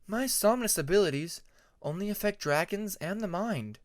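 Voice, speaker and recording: A man voicing a young female dragon who explains things calmly and patiently in a measured, earnest way. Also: sits high, voice pushed up. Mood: calm explaining.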